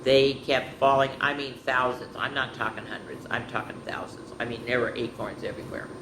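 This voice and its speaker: A woman's voice: a bass voice, level tone, a little fast. A woman hosting a children's story time, reading picture books aloud with chatty, playful asides. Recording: roomy with background noise.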